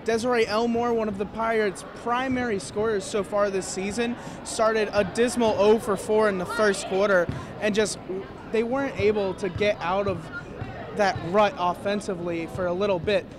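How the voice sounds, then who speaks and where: A man's voice: high-pitched, steady tone, moderate pace, background noise. A young man giving an earnest, detailed postgame basketball analysis courtside, speaking into a handheld mic. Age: young adult. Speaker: student sports analyst. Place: courtside in a large indoor arena.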